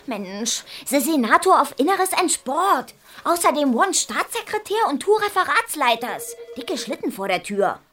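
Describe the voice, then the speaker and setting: An actress playing a brisk, blunt, matter-of-fact office secretary in a radio crime drama. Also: female voice, sits high, very animated, fairly bright tone, exaggerated voice. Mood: blunt, gossipy. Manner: blunt, mixes clumsy English into casual talk.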